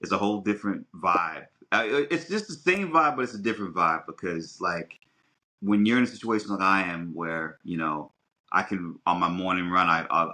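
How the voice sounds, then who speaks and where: Deep, bright-voiced American man. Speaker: podcast host. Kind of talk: casual podcast chat.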